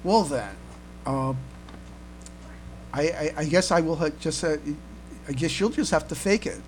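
A man co-hosting a casual fan podcast, speaking haltingly and often repeating his words as he comments. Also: a little high, lively intonation. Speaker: podcast co-host. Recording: noisy home recording with hum.

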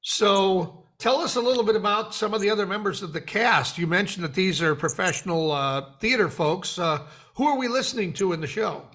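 A man's voice: sits high, fairly quick, hardly any pauses, sharp tone. A man hosting an interview, putting questions in a conversational style. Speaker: interview host.

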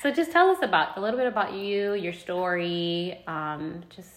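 A woman's voice: on the low side, normal speed. A woman hosting a podcast in casual, informal and effusive chat. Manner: informal, effusive, laughs often. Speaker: podcast host. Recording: roomy, echoing sound.

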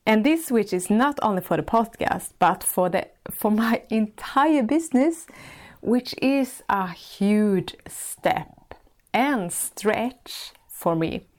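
A woman with a Swedish accent, a native Swedish speaker, hosting a solo podcast as a life coach and searching gently for words in English with small restarts. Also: medium pitch, animated, slow pace. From Sweden.

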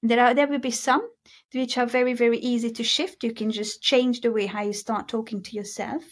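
A woman's voice: fairly high pitch, steady tone, a little fast. A woman from Hungary with a mixed Hungarian accent, speaking as a guest in a casual interview about mindset and stretching words for emphasis. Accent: Hungarian mixed with British and American.

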